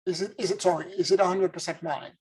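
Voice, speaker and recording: A man's voice: high-pitched, fairly even intonation, fast talker, a little mellow, slightly rough. A man from Haiti, a spiritual teacher, giving an earnest, introspective monologue and searching for his words as he goes. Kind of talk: reflective spoken monologue.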